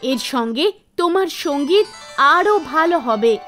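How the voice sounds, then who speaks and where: A woman's voice: high-pitched, expressive, unhurried, a bit bright. Woman voicing a composed, gracious goddess over music. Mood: pleased, gracious.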